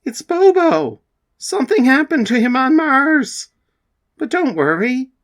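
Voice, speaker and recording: A man voicing a worried young woman, speaking in a higher, anxious tone. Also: big pitch swings, a little slow, a bit bright, full character voice.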